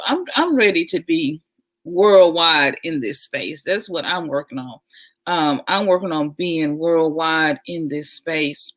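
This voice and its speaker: A woman hosts a casual livestream at an easy, unhurried pace. Her voice sits on the low side, and she talks warmly and with enthusiasm, often repeating her phrases. She adds chatty asides as she goes. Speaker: livestream host.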